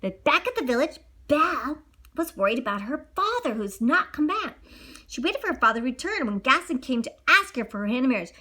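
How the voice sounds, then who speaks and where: A woman's voice: sits high, big pitch swings, a little fast, sharp tone. A woman reading a children's story aloud as a storyteller, theatrical and improvising as she goes.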